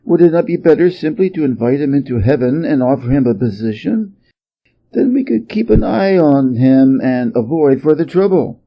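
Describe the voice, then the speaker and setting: A man's voice: on the high side, animated, moderate pace, gravelly. A man voicing a prudent, deferential spirit minister in a storybook reading, formal and earnest, slightly muffled recording. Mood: respectful. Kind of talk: storybook character voice.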